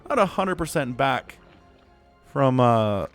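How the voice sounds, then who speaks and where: Excitable man casting a game alone. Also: mid-range voice, a little slow, soft background music. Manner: casual, excitable, rises to yelling at big fights. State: voice still recovering, cracking at times. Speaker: StarCraft caster. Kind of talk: solo esports play-by-play commentary.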